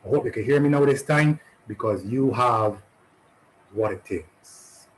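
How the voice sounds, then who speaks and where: A man speaking to an online audience after his poem, deliberate and encouraging. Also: mid-range voice, slight background noise, low-quality recording. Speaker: poet.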